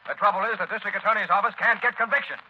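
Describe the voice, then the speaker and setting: An actor playing a harried, gruff police commissioner in old radio drama, brusque, emphatic and impatient. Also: male voice, high voice, racing. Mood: defensive, emphatic. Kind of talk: 1930s radio drama acting. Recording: muffled, hissy old radio broadcast.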